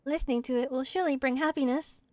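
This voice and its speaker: A woman voicing a small, gentle, earnest forest spirit from a video game, childlike and simply spoken in measured phrases. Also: sits high, fairly even intonation, no echo, close to an everyday voice. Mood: wondering.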